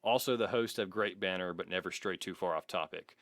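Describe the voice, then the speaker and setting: A man's voice: low voice, a little fast, fairly bright tone, no echo. A man co-hosting a podcast in casual, joking, sweary chat, reading out listener comments. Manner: profane, joking. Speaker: podcast co-host.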